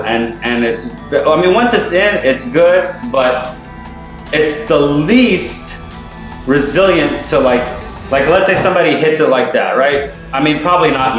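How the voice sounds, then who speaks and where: A man's voice: mid-range voice, reverberant, low-quality recording. A man from Afghanistan giving a casual vlog tour of a house, talking in an emphatic, rambling way with lots of restarts and some swearing. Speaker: mortgage broker giving a home tour. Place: empty furnished house indoors.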